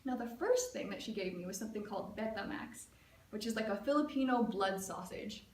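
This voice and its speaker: A woman's voice: medium pitch, animated, a little fast, slight background noise. Chatty American woman in echoey room. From United States. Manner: casual, chatty, with frequent "like" and "um". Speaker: student giving a speech. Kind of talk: prepared personal storytelling speech. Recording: echoey room, mic at a distance, faint ambience.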